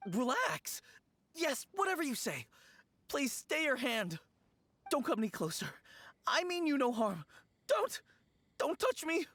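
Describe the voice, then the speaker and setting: A man's voice: extremely high pitch, wildly swooping pitch, unhurried, long pauses, pushed and bright, a little hiss or hum, full character voice. An actor voicing a timid young male undertaker who is easily frightened and speaks politely and formally. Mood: panicked. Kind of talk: game voice acting.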